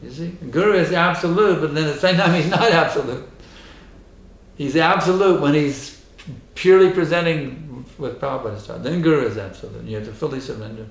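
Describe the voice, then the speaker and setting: A hoarse man giving a temple lecture and answering questions, emphatic and insistent, repeating his points. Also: fairly high pitch, brisk. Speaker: spiritual teacher giving a lecture. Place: temple hall. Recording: echoey hall.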